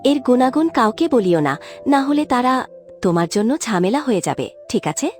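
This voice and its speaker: A woman voicing a caring magical queen bird in a cartoon fairy tale, gently admonishing the listener. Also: a little high, sing-song, moderate pace, music in the background. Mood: cautioning. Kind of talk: dubbed cartoon moral-tale acting.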